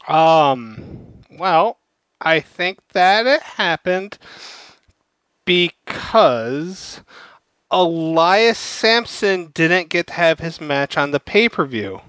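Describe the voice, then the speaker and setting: Co-host, man, somewhat high voice, smooth and dry, wry humor. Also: animated, drawn-out words, a bit bright, no room sound. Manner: wry, joking. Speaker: podcast co-host. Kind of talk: casual wrestling chat.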